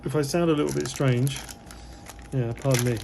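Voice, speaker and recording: A man who is losing his voice a little talks casually through unboxing a model kit. His slightly hoarse voice sits at an ordinary pitch, and he rambles along with plenty of fillers. A faint hiss of background noise runs under the recording. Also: moderate pace. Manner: relaxed and rambling, many fillers.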